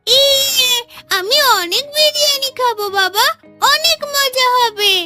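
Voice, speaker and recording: A woman's voice: very high-pitched, animated, unhurried, over music. A woman voicing an earnest, innocent little village girl in a fairy-tale cartoon, with a thin childlike voice. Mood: delighted.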